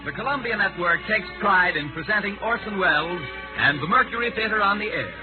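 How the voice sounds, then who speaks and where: A man's voice: high voice, lively intonation, a little fast. A man announcing for network radio in a formal, declamatory 1930s style. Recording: tinny 1938 radio broadcast. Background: music.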